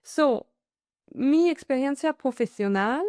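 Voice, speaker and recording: A woman with a French accent gives a masterclass in Spanish over a video call, which is not her first language. Her delivery is slow and halting, often filled with "so" and "am". Her voice is mellow and the sound is dry and close. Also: high-pitched, expressive, dry recording. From Paris, France. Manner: hesitant, with many "am" and "so" fillers. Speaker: guest speaker, consultant. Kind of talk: online masterclass presentation.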